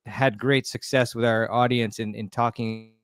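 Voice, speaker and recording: Smooth-voiced upbeat male host. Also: mid-range voice, fairly even intonation, moderate pace. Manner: upbeat, friendly, filler-heavy. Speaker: podcast host. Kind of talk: casual online interview.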